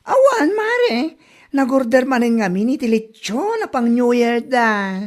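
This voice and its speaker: A woman's voice: on the high side, very animated, a little slow. A woman with an Ilocano accent playing a gossipy, smug neighbour who teases and chides her friend in a comedy drama. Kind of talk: comedy radio drama acting.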